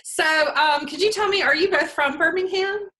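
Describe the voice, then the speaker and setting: Bright, enthusiastic woman interviewer. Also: very high voice, normal speed. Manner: warm and enthusiastic, laughs often. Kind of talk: casual interview.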